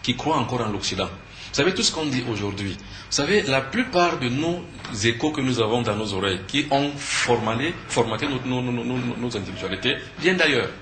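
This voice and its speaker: A man with a Cameroonian French accent speaks in a sharp, bright voice at a middle pitch. He explains emphatically, posing questions and answering them like a lecturer. The sound is echoey, with faint noise behind him. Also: lively intonation, a little fast. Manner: didactic, emphatic, asks and answers own questions. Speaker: spiritual teacher and conference speaker, interview guest. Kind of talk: earnest explanatory talk in a TV interview.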